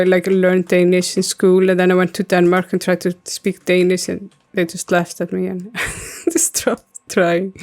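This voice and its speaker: Icelandic woman in her 30s, gravelly voice, flat even delivery, rambly gaming chat with lots of ums. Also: fairly low pitch. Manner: rambling, many fillers, answering chat. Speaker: streamer. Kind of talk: casual gaming stream chat.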